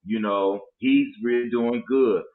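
A man's voice: medium pitch, steady tone, measured pace. A man in his forties holds forth on dating in an emphatic, preachy way. His voice comes through a tinny, phone-like line and echoes around the room. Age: 40s. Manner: preachy, emphatic, slips into mock voices to make a point. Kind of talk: casual podcast chat about dating.

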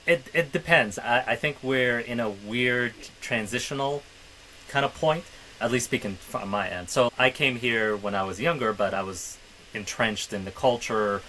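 A man's voice: mid-range voice, normal speed, bright, forceful tone, a little hiss or hum, rough recording. A man who grew up in the Middle East, co-hosting a podcast and reflecting in casual conversation. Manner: reflective, hedging with "I think". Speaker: podcast co-host.

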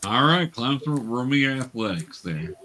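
A man's voice: medium pitch, expressive, moderate pace, pushed and bright. A man acting as game master, narrating a tabletop game and explaining options to the players.

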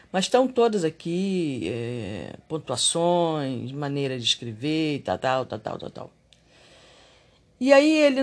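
A man giving a reflective, confessional monologue on spirituality and daily life. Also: fairly high pitch. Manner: reflective and confessional, animated when irritated.

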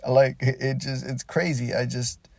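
A man's voice: mid-range voice, little pitch movement, moderate pace, creaky, a little mellow, dry recording. A man hosting a casual solo podcast from his parked car, a native Spanish speaker, talking in a rambling, laid-back way with frequent restarts. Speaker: podcast host.

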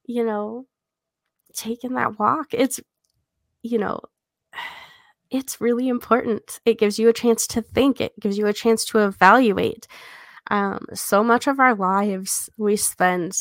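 Female podcast co-host, casual and thoughtful book discussion, noticeable vocal fry, close dry sound. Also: fairly high pitch, no room sound.

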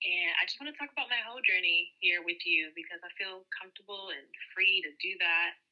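A woman's voice: lowish voice, brisk. A woman guest on a podcast telling her personal story in a candid, conversational way over a muffled remote connection.

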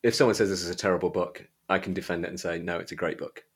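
A man hosting a podcast, chatting casually about books in a halting way, often repeating and correcting himself. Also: deep voice, steady tone, slightly rough. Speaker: podcast host. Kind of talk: casual book chat.

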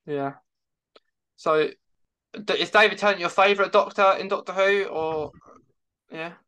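A man hosting a casual fan chat as interviewer, speaking haltingly with repeated words and false starts. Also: sits high, bright, forceful tone, smooth. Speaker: podcast host interviewing a guest.

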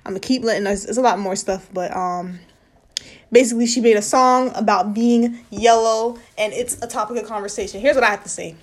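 A woman's voice: on the high side, fairly even intonation, fairly quick. An American woman hosting a casual pop culture talk, speaking in an opinionated, blunt and slangy way. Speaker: talk show or podcast host.

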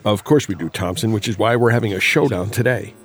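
An actor playing a blunt, ambitious corporate executive in a campy audio drama, confident and confrontational. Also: male voice, on the low side, fast talker, natural voice.

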